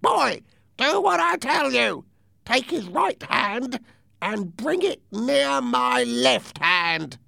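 Storybook villain: commanding blind beggar, extremely gravelly, drawn-out orders, wildly swooping pitch. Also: male voice, big pitch swings, pushed and bright, no room sound, studio quality, heavily acted. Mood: harsh, commanding. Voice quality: high, creaky, strained. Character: sinister, commanding, ugly old blind beggar man.

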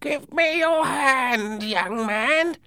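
A man voicing a sinister, commanding, ugly blind beggar in a high, creaky, strained voice for a storybook. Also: sharp tone, no room sound, heavily acted. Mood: menacing. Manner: slow, drawn-out commands.

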